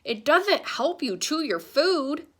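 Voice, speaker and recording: A woman's voice: high voice, sing-song, slow, voice pushed up, heavily acted. Woman doing a dramatic old storybook grandpa. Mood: moaning.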